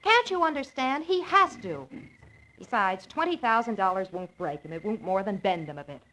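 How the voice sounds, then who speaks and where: A woman's voice: medium pitch, animated, normal speed, bright, forceful tone, poor audio. Cool, impatient scheming American woman. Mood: exasperated. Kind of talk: 1940s radio drama acting.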